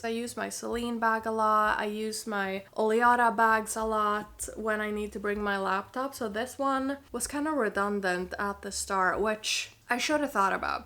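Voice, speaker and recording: Woman fashion vlogger, mid-pitched and slightly stuffed up with a cold; conversational, emphatic, casual review delivery. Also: fairly bright tone. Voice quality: slightly nasal. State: has a cold. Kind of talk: casual chatty review to camera.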